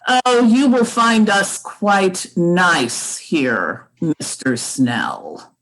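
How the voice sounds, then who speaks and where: A woman acts out an imperious old society matriarch for a radio play. She speaks very slowly and haughtily, her pitch swooping widely as she commands and looks down on everyone. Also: expressive, fairly bright tone, full character voice, rough recording. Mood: grand, reminiscing. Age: older. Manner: haughty, drawn-out, commanding delivery. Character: imperious, snobbish old grand dame matriarch. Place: remote home recording over video call. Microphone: video call.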